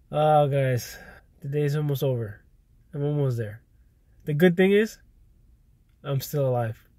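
A man's voice: on the high side, slow, long pauses, warm tone, dry recording. Stuffy, tired man vlogging, dry sound. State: congested, stuffy nose. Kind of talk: casual vlog chat. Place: inside a parked car in daytime. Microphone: camera at close range.

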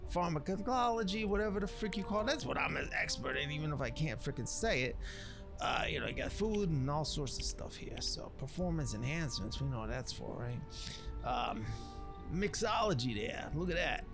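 A man playing a rambling streetwise former drug cook who recounts a legend as game commentary, in slangy, folksy tough-guy talk. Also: a little high. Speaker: let's player. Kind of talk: in-character running game commentary.

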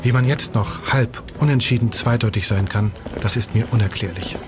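An actor playing a composed, resolute imprisoned revolutionary in a docudrama, speaking in solemn, measured, formal phrases. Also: male voice, lowish voice, close to an everyday voice. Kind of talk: German docudrama acting, letter voice-over. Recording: loud music over the voice.

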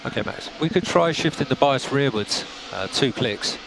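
A man working as race engineer, giving calm, clipped gap numbers and setup instructions to a driver. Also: medium pitch, moderate pace, creaky, noisy background. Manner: calm, methodical, clipped updates. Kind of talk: team radio instructions. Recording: compressed team radio with music.

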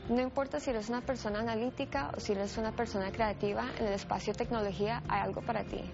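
A woman's voice: fairly high pitch, steady tone, fast talker, vocal fry. A woman, speaking with enthusiasm and pride in an interview. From Uruguay. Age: 20s. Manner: enthusiastic, proud. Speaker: media specialist. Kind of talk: documentary interview. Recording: slightly dull audio with music. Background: music.

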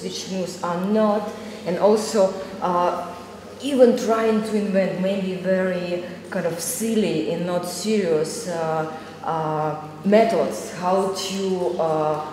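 Mellow Eastern European woman in echoey hall. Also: on the low side. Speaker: panelist. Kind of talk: halting panel talk in non-native English. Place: gallery room with small audience. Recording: very echoey hall, faint noise.

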